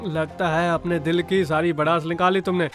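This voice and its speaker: A man's voice: a little high, level tone, moderate pace, a bit bright, faint music behind, natural voice. A man playing a scheming, impatient merchant's servant in a moral-story cartoon, bossy and conspiratorial.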